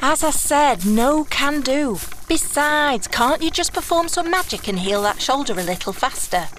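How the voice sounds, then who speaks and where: A woman plays a roguish thief in a fantasy audio drama, sardonic and out for herself. Her voice sits a little high, brisk and dismissive, over faint background noise. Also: expressive, a bit bright, rough recording. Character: sardonic, self-serving roguish female thief companion.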